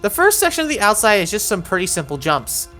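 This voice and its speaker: A woman's voice: medium pitch, big pitch swings, fast talker, fairly bright tone, faint music behind. A woman narrating an instructional video game walkthrough, giving encouraging step-by-step directions.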